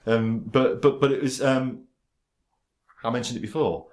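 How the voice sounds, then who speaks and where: A man talking directly to camera about his stammer, halting, with frequent pauses, ums and restarts as he controls his speech. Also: medium pitch, steady tone, darkish tone. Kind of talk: casual direct-to-camera talk.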